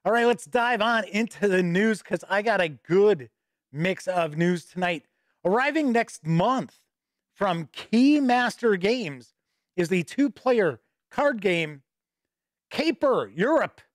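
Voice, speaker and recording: A man's voice: high-pitched, lively intonation, takes it slowly, no echo, clean studio sound. Chatty middle-aged man, slightly stuffy. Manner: chatty and upbeat, reading news with asides. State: a little stuffy with a starting cold. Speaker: host and editor-in-chief of a tabletop gaming news show. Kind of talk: casual live-stream news chat. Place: home studio with shelves of games behind. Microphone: studio mic on boom arm close to mouth.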